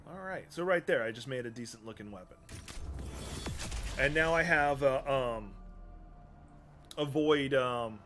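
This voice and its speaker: Hoarse sounding male game streamer. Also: medium pitch, a bit bright. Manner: rambling, many ums and restarts, talking through menus. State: losing his voice. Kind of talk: casual live-stream commentary.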